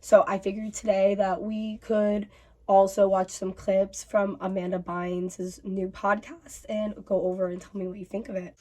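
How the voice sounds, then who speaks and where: A woman in her twenties hosting a reaction vlog, voice raspy from bronchitis, chatting casually with many fillers. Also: medium pitch, level tone, a little slow, a little mellow. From North America. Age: 20s. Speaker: YouTube host.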